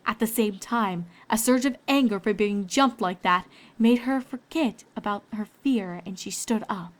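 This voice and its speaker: A woman's voice: mid-range voice, measured pace. A woman reading scripted story narration as the narrator of a fan fiction.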